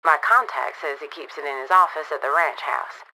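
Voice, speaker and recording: A confident crime boss. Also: female voice, sits low, brisk, pushed and bright, a little hiss or hum, poor audio. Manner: confident, drawling, amused. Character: woman crime boss client, confident and wry. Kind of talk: video game voice lines.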